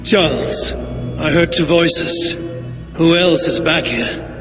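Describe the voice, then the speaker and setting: A gravelly, blunt Viking warrior. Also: male voice, fairly high pitch, slow pace. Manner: calm, terse and commanding. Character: steady, blunt male Viking warrior leader. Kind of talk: video game cutscene. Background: music.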